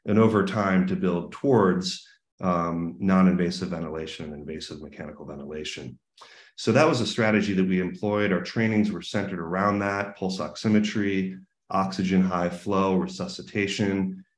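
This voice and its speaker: Low-voiced man giving a webinar talk. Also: steady tone, normal speed. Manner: many fillers and restarts, earnest explaining. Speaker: keynote speaker. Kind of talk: webinar presentation. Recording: roomy echo.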